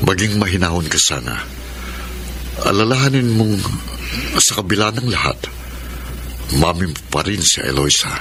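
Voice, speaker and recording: A middle-aged man acting a gentle, concerned father in a Filipino radio drama. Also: sits low, expressive, normal speed, pauses often, background noise. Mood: gentle, pleading. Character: gentle, concerned father calming his son.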